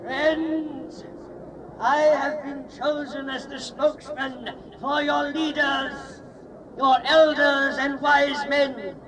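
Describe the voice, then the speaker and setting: A man's voice: extremely high pitch, level tone, slow, poor audio. An actor playing a formal spokesman for the elders, a man declaiming in a dramatized Bible story. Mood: official, assured. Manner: declamatory, official. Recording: crowd behind the voice.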